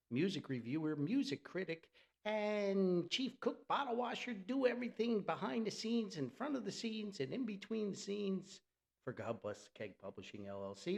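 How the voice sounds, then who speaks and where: A man's voice: high-pitched, gravelly, a bit bright. An American man from New Jersey hosting a solo podcast in a chatty, theatrical, folksy way, with exclamations and words repeated for emphasis. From New Jersey, United States. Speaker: podcast host. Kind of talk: chatty solo podcast monologue with sponsor reads.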